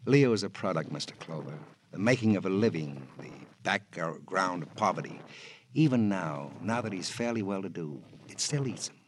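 An actor playing a brooding, loyal American confidant, speaking in halting, reflective, theatrical phrases in radio crime drama style. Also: male voice, deep voice, animated. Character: brooding, loyal male confidant, protective older friend. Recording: faintly noisy old radio recording.

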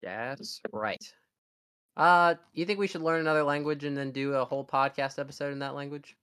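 Keep it loose and sarcastic; you're a young man with a smooth, resonant voice, rambling on a podcast with a friend. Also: on the high side, normal speed, a bit bright. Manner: rambling, sarcastic, stumbles and restarts. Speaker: podcast co-host. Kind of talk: casual chat.

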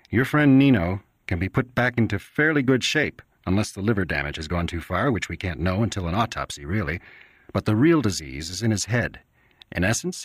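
A blunt, cynical doctor, played by a man reading aloud talks in a flippant, matter-of-fact way. His voice sits a little higher than the narrator's own, and the sound is dry and close. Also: no echo.

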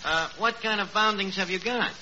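A man's voice: sits high, moderate pace, pushed and bright. An actor playing a cocky, uneducated Brooklyn tavern manager in a 1940s radio comedy, wisecracking, hesitant and mangling his words. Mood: chummy, bumbling. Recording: noisy, muffled old radio recording.

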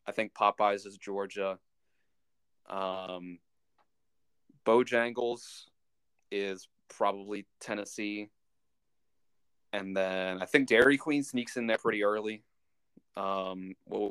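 A man hosting a casual sports podcast, talking in a halting way as he thinks out loud. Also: lowish voice, smooth, no echo.

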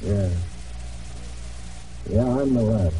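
Grim hunted sailor, old radio drama. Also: male voice, fairly low pitch, extremely slow. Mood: haunted, grim. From United States. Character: hunted American Navy man in hiding, weary and determined. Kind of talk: old radio drama acting, first-person narration and dialogue. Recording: old radio broadcast, distant, music behind.

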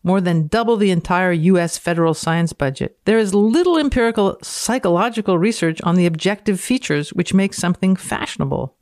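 A woman's voice: fairly low pitch, fairly quick, few pauses, darkish tone, dry recording, clean studio sound. A woman reading aloud dramatically as a podcast guest, with playful, wry casual banter. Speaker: podcast guest reader, physicist.

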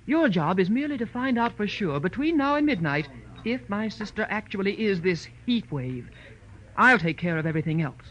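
A woman's voice: medium pitch, very animated, normal speed, crowd noise, lightly acted. An actress playing a haughty, commanding rich society woman in 1940s radio drama style. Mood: cool, commanding. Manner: crisp, commanding, disdainful. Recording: dull, noisy old radio recording.

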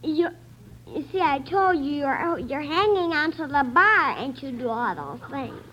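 High, bright female panelist on old radio. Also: lively intonation, slight background noise. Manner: halting, repetitive explaining. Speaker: quiz show panelist. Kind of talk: unscripted answers on radio. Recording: dull lo-fi vintage radio recording.

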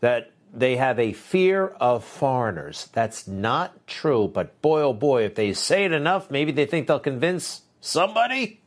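A man's voice: medium pitch, very animated, measured pace, hardly any pauses. A man hosting a news show, giving opinionated commentary with sarcastic, dismissive asides.

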